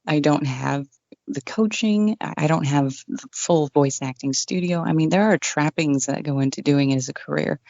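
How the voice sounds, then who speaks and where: Woman, low voice, relaxed self-deprecating guest, dry muffled home mic sound. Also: normal speed, gravelly, dry recording. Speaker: guest, online radio DJ. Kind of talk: casual interview chat. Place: home room without acoustic treatment. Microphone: condenser desk mic with pop screen. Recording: dull, dry home recording.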